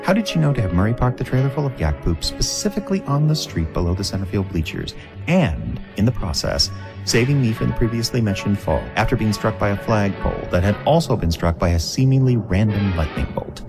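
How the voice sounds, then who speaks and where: Deep-voiced wisecracking reporter, played by a man. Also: sing-song, fairly quick. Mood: wry recounting. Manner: sardonic, chatty, mock-formal. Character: wry, wisecracking male reporter and monster hunter. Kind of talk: first-person radio drama narration. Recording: music behind the voice.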